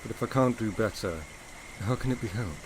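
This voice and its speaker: A brooding gentleman master of a gothic romance, acted by a man, speaks in a lowish voice. His phrasing is formal and theatrical with a teasing edge, as in a period audio drama. There is clear noise and ambience behind him. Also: a little fast, close to an everyday voice. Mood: tender, melancholy. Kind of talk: audio drama acting, period literary dialogue.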